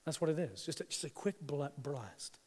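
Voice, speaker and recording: Emphatic preacher in a reverberant hall. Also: male voice, a little high, lively intonation. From East Africa. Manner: swings from hushed to emphatic, dramatic pauses. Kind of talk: sermon.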